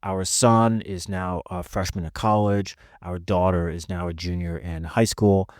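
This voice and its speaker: An American man in his fifties, a podcast guest telling his personal story conversationally in a halting, self-correcting way. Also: sits low, steady tone, darkish tone, no echo, clean studio sound. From northeastern United States. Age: 50s.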